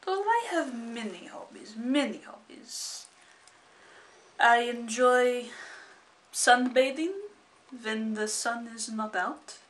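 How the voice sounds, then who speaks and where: A woman playing a solemn female vampire lawyer in improvised comedy, speaking in a slow, drawn-out, theatrical way. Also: on the high side.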